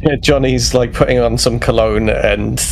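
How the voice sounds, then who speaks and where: A man's voice: medium pitch, steady tone, moderate pace, gravelly, a little hiss or hum. A man chatting casually as a player in a tabletop roleplaying game.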